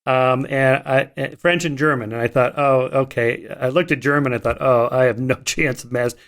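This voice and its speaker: A man's voice: mid-range voice, fairly even intonation, normal speed, fairly bright tone. A man hosting a podcast interview, chatty and self-deprecating, laughing easily as he talks. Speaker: podcast host. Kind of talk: conversational interview.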